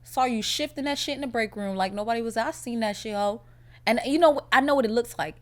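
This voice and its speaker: A young woman hosting her own podcast, chatting casually in a blunt, profane, animated way, her voice a little raspy from a cold. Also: fairly high pitch, fairly quick. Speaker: podcast host. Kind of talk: casual solo podcast chat.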